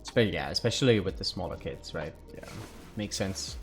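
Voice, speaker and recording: A young Hungarian man with a deep, low voice chats loosely with his viewers as he plays, leaning on fillers like "like" and "right". Soft music plays faintly under his talk. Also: expressive, normal speed, vocal fry. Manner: chatty, answers viewer chat, many fillers. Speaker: streamer. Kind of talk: casual chat while gaming.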